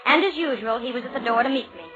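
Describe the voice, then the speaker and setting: A woman's voice: a little high, rapid-fire, lightly acted. A woman acting a witty, exasperated young magazine columnist in a 1940s radio comedy. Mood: resigned. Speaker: actress in a radio play. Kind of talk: 1940s radio acting, first-person comic narration. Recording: dull old radio recording, music behind.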